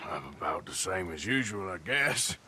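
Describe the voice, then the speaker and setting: An actor playing a gruff, sardonic American outlaw gunslinger who talks in dry, terse jibes in a video game cutscene. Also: male voice, sits low, animated, moderate pace, sharp tone, slight background noise, exaggerated voice. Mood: surly.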